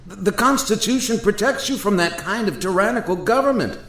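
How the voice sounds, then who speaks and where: A man's voice: sits high, animated, fairly quick, a bit bright. A man playing an indignant, courtly founding father in a radio play, speaking formally and emphatically, with incredulity. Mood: stunned protest. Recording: roomy echo.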